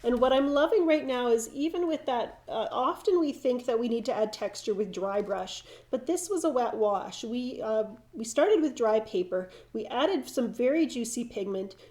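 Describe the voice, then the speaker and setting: A middle-aged woman teaches watercolor live, talking her way through each brushstroke. Her voice is mellow and a little froggy and stuffed up from hay fever. She is relaxed and often restarts her sentences mid-thought. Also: a little high, moderate pace, few pauses. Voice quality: slightly froggy, nasal. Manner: relaxed, thinking aloud while painting, frequent restarts. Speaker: watercolor instructor. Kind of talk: live painting demonstration.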